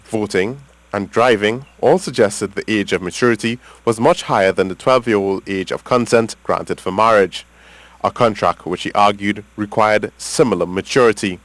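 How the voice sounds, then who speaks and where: Low-pitched Trinidadian male news reporter. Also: moderate pace, fairly bright tone, no room sound, low-quality recording. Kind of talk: scripted news report narration.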